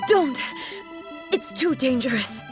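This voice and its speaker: A woman voicing a dignified, gentle but resolute divine ruler in a video game, composed and formal in her speech. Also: high voice, animated, slow pace, over music. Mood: alarmed.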